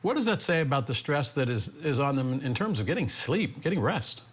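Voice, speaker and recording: A man's voice: medium pitch, big pitch swings, a little fast, slightly rough. A man working as a news interviewer, putting direct, pointed questions to a guest.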